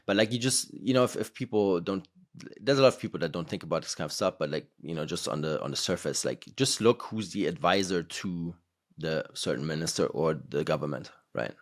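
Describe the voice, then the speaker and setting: A man's voice: low-pitched, a little fast. A man hosting a podcast, rambling in casual conversation with many fillers. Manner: rambling, filler-heavy, laughs between points.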